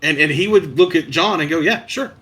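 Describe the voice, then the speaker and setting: A man co-hosting a casual podcast, giving measured, knowing commentary. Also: on the high side, bright, forceful tone. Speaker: podcast co-host. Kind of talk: casual wrestling podcast chat.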